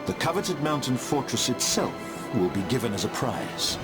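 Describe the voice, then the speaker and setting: An actor playing a solemn narrator of a dark war chronicle, in scripted dramatic fantasy game cutscenes. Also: male voice, mid-range voice, sing-song, moderate pace. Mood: grave, ominous. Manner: grave, solemn storyteller. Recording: loud orchestral music behind. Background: loud cinematic music.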